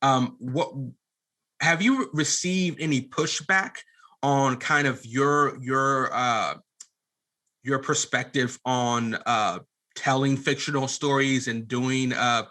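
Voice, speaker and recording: Male podcast interviewer, mid-pitched and bright, casual, halting delivery that circles around each question.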